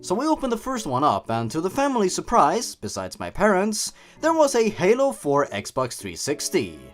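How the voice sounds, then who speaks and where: A man's voice: high-pitched, wildly swooping pitch, normal speed. A man reading a story aloud and breaking in with sarcastic, mocking commentary as the host. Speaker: host reading and commenting on online horror stories.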